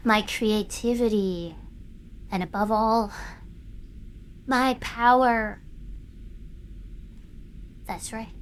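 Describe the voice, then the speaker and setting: A woman's voice: fairly high pitch, fairly bright tone, a little hiss or hum. A woman playing a proud, vengeful young female internet star in a dramatic audio drama, speaking in an imperious, bitter, theatrical way. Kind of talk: dramatic acted monologue, fan audio drama.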